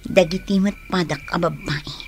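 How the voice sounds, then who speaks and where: Loyal elder sister, female actor: slightly low voice, hushed and conspiratorial, radio drama with music underneath. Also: steady tone, normal speed, lightly acted. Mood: secretive, resolute. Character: loyal older-sister accomplice in a secret escape.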